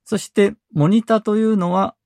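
A man with a slightly high voice narrates a tech explainer in a very dry recording. He goes through things methodically, step by step, as a podcast host. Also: steady tone, normal speed, gravelly, a little mellow, dry recording.

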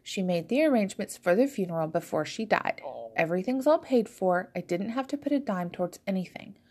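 A woman's voice: mid-range voice, expressive, fairly quick, a little mellow, lightly acted. A woman voicing a wry, forgiving middle-aged mother in an audiobook story, dry and matter-of-fact. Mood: serious.

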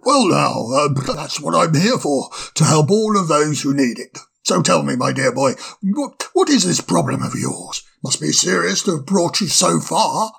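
Storybook owl, old male professor, genial and self-important, low gravelly husk, as a reader puts him on. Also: lively intonation, unhurried, hardly any pauses, a bit bright, full character voice. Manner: chuckling, hesitant, fond of "my dear boy". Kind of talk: storybook reading.

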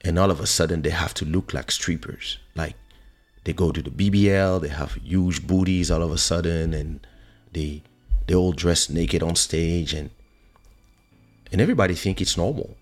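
A man's voice: deep voice, moderate pace, soft background music. A man who grew up in France, speaking as he hosts a casual, opinionated monologue to viewers, emphatic and repetitive, with rhetorical questions. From born in Africa, grew up in France. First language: French. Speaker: online show host.

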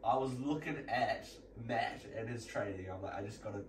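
A man's voice: on the low side, moderate pace. Rambling young man in an echoey room. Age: young adult. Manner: rambling, laughing, restarts. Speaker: podcast co-host, triathlete. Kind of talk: casual banter among mates. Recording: echoey room, distant mic, faint noise.

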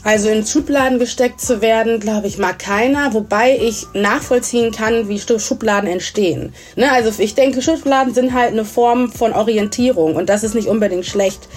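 A woman's voice: fairly high pitch, moderate pace, hardly any pauses, soft background music. A thirty-year-old woman from Germany chatting casually as an interview guest, mixing English words into her talk. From Germany, grew up in Essen.

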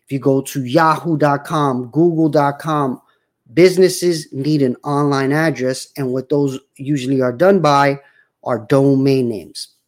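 A man's voice: mid-range voice, fairly even intonation, a little slow. A man in his forties hosting his own show, talking casually and rambling with many fillers and restarts. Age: 40s. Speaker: show host. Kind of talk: casual livestream talk.

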